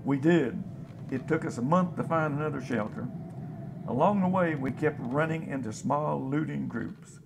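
An actor playing a folksy, plain-spoken, hopeful scavenger in a radio drama about survivors of a disaster. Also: male voice, on the high side, moderate pace, creaky, noisy background. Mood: reflective, matter-of-fact. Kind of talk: radio drama, interview monologue.

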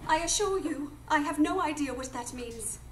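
Casting: proper young lady adventurer acted by a woman, challenging, high voice, distant roomy live radio play sound. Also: moderate pace, a bit bright. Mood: indignant. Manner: prim, challenging. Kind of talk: live radio drama acting. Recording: distant echoey live stage, background noise.

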